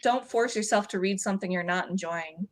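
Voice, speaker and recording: A woman's voice: medium pitch, fairly quick. A woman guest on a livestream, chatting casually about books in a conversational way peppered with "like". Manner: conversational, frequent use of "like". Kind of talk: casual book chat.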